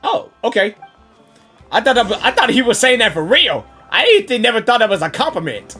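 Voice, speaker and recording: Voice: medium pitch, big pitch swings, normal speed, sharp tone, breathy edge. A gaming streamer reacting casually and playfully to a game, repeating phrases and talking back to it. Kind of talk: casual excited gameplay reaction commentary. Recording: game music behind the voice.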